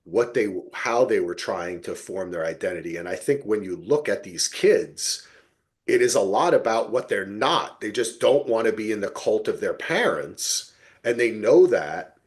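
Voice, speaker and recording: A man's voice: lowish voice, expressive, moderate pace. A man hosting a podcast, chatting casually and emphatically about television, acting out quoted asides as he goes. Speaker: podcast host. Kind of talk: casual TV recap discussion.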